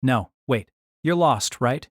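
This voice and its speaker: A man's voice: medium pitch, expressive, slow, slight background noise. An actor playing a wry, exasperated young man, delivering wordy first-person story narration and dialogue in a deliberating, self-conscious way.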